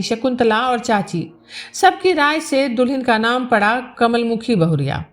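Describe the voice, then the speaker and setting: High-voiced woman narrating a story over music. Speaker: storyteller reading a novel aloud. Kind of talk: scripted literary narration. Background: background music.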